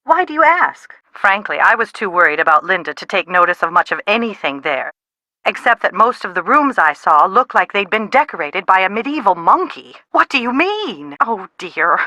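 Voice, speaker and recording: Bright, exasperated middle-aged mother. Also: mid-range voice, very animated, moderate pace, no echo. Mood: wry, concerned. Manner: exasperated, dramatic, dry wit. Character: anxious, sharp-tongued middle-aged mother, wry and bossy. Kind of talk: video game dialogue, phone conversation acting.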